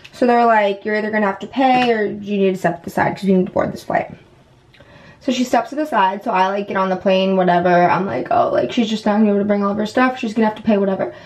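A woman's voice: mid-range voice, poor audio. A chatty woman in her twenties with a mid-range voice talks informally to her camera at home. She tells stories as a vlogger would, leaning often on "like" and "whatever.". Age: 20s. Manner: chatty, heavy use of "like" and "whatever". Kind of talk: casual chat, informal storytelling to camera. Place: indoors at home, bathroom. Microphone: camera at about arm's length.

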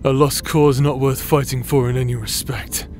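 A man acting as a bitter, broken warrior in a dramatic audio roleplay, raw and halting in his delivery. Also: medium pitch, flat delivery, darkish tone, close to an everyday voice. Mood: resigned. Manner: anguished, halting, emotionally raw.

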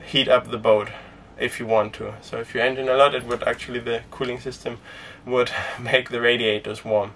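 Young man, non-native speaker, casual vlog talk, relaxed, filler-heavy, middle pitch, slightly distant mic. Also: moderate pace, hardly any pauses, a little hiss or hum. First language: Danish. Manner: relaxed and rambling, with frequent fillers and small laughs. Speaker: sailing vlogger. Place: inside a small sailboat cabin and cockpit tent.

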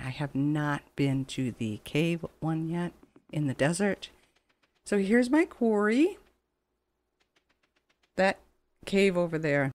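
A woman's voice: deep voice, a little mellow. A woman giving casual, chatty let's play commentary in a rambling, conversational way. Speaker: gaming channel host. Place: voice-over on game footage. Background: game music.

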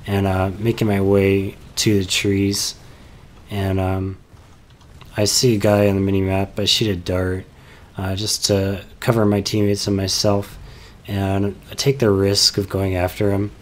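A young man calmly explaining his tactics over game footage, sounding a little stuffy from a cold, with frequent "uh" fillers. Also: low-pitched, measured pace. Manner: calm, explanatory, many "uh" fillers. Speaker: gameplay commentator.

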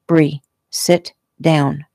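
A woman narrator plays a tense, commanding young man in an audiobook. The voice is low and creaky, firm and curt. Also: little pitch movement, very slow, warm tone, no echo, studio quality, exaggerated voice. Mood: controlled, urgent. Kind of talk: audiobook character acting.

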